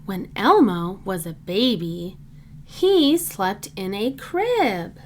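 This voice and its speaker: Slow, lilting woman reading to children. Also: medium pitch, big pitch swings, a little hiss or hum. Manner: simple, deliberate phrasing for young children. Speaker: children's book reader. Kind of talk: read-aloud children's storybook narration.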